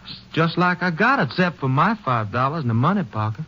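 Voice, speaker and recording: A nervous ranch hand in an old radio western, high and sharp, with lively swings of pitch. He talks in a folksy, stammering way, on a dull vintage recording. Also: a little fast, full character voice. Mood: nervous, defensive. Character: nervous cowhand, chatty ranch worker protesting innocence.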